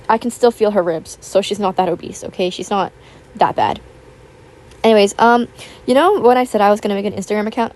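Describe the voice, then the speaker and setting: A young woman, raspy from just waking up, rambling chattily over a voiceover and recorded at home on a built-in tablet mic. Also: mid-range voice, darkish tone, a little hiss or hum, rough recording. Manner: rambling, filler-heavy, chatty. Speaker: YouTuber. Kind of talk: casual chat voiceover. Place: home, with household noise. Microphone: built-in tablet microphone.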